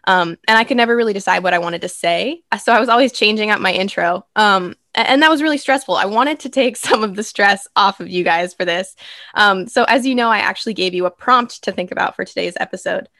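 Casting: young woman, student podcast host, mid-pitched, thoughtful, recorded close and dry. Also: fast, pushed and bright, no echo. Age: young adult. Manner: reflective and thoughtful, with ums. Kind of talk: conversational podcast hosting.

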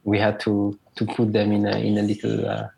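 Hesitant low gravelly young man. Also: monotone, fairly quick, dark tone, low-quality recording. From Switzerland. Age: 20s. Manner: hesitant, many fillers and repeated words. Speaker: interview guest, school alumnus. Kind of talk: casual interview answers.

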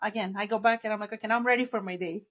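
A woman's voice: medium pitch, steady tone, very fast. A woman from Mexico, a native Spanish speaker, hosting a podcast in an upbeat, encouraging, casual way. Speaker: podcast host. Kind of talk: casual interview chat.

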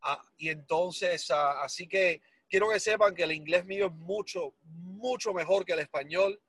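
A man in his forties with a Cuban Spanish accent, telling his life story as an interview guest in a lively, self-deprecating way, slipping in English words. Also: sits high, moderate pace, husky, no echo. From Miami, United States. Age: 40s.

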